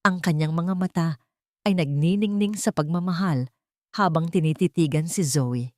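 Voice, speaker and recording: Female storyteller, lower register, sentimental measured romance narration, dry sound. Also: no room sound.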